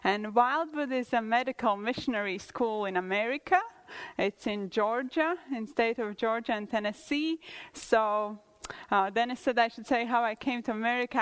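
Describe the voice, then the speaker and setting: A woman's voice: medium pitch, fairly bright tone, low-quality recording. A woman from Latvia in her thirties, with a Latvian accent, speaking English as a second language, giving an earnest testimony-style sermon at church with some hesitant restarts.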